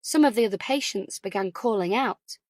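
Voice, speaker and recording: A woman's voice: mid-range voice, a little fast, darkish tone, no echo, clean studio sound. A woman reading scripted thriller narration as an audiobook narrator.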